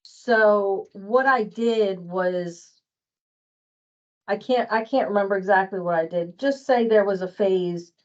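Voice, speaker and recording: A woman with a mid-pitched voice talks informally in an online work meeting. She thinks out loud, doubling back on her words and hesitating. The call audio is dull and lo-fi. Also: slow. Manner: hesitant, repetitive, thinking aloud. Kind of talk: casual work meeting discussion.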